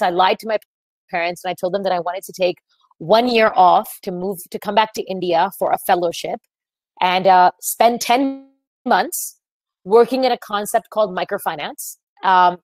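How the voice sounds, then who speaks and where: An American woman in her thirties tells her story as a webinar speaker in an earnest, reflective way, with frequent "um"s and "uh"s. Her voice sits at a middle pitch and comes through a computer mic on a video call. The sound is dry and close. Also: dry recording. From United States. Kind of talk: presenting a webinar talk.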